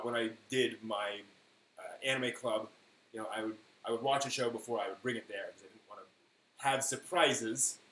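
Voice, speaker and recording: A man's voice: medium pitch, fairly bright tone. Male streamer, mid-range voice, rambling and conversational with stressed key words; echoey room, faint background noise. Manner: halting, thinking aloud, emphatic on key words. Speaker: live stream host. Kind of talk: casual rambling chat, answering viewers.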